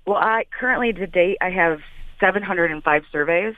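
A woman's voice: lowish voice, normal speed. A woman in her late thirties from Michigan giving an earnest conversational account as an interview guest, repeating phrases for emphasis. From Michigan, United States. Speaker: interview guest, community activist. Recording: thin phone-like line.

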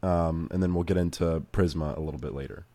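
20-something guy hosting his podcast, laid back, kinda low voice. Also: steady tone, fast talker, dark tone. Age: 20s. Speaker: podcast co-host.

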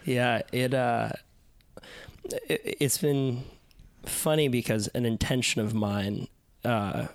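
Hoarse podcast guy, kinda lost his voice, casual chat, lots of ums, dry sound. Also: mid-range voice, steady tone, takes it slowly, dry recording. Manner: thoughtful, with frequent fillers and restarts. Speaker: podcast host. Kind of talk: casual interview.

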